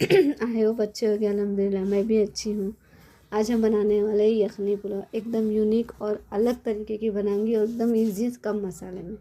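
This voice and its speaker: A middle-aged woman with a hoarse, cold-worn voice, chatting casually as she explains a home recipe step by step in her kitchen. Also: mid-range voice, level tone, normal speed, dark tone. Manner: chatty, explains step by step with frequent tag questions. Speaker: cooking channel host. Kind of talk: casual cooking tutorial.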